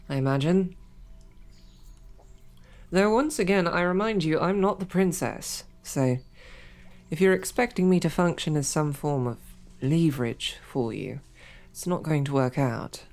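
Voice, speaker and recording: A woman's voice: sits low, darkish tone. A woman voicing a captive young woman knight who talks in a dry, formal, wryly understated way, in an audio roleplay drama. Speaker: voice actor.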